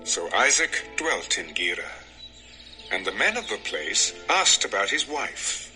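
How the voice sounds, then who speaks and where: A man narrating a dramatized scripture recording in a measured, expressive storytelling manner. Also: fairly low pitch. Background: music.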